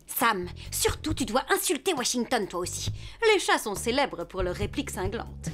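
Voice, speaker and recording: A woman's voice: a little high, very animated, normal speed, fairly bright tone, soft background music. A woman playing a blunt, self-centred pet cat in a French cartoon, with a disdainful, superior delivery. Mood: scornful, instructing. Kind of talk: French animated cartoon dub.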